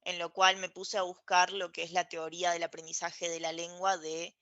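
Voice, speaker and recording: A woman's voice: on the low side, flat delivery, moderate pace, pushed and bright, dry recording. A woman, explaining her experience as a podcast guest and checking in with tag questions. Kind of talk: casual conversation, explaining. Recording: dry, dull lo-fi audio.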